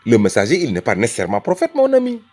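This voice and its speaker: A man's voice: medium pitch, huge pitch swings, fast, a little mellow, faint music behind. A man from Senegal arguing a religious point with emphatic rhetoric, repeating phrases and calling the listener brother. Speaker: religious speaker. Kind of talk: impassioned religious argument.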